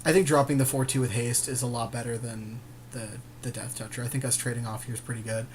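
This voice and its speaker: A man's voice: medium pitch, steady tone, quick pace, a little hiss or hum. A man chatting informally as he co-commentates a card game he is playing. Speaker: podcast co-host and player. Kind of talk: casual co-commentary on a card game being played.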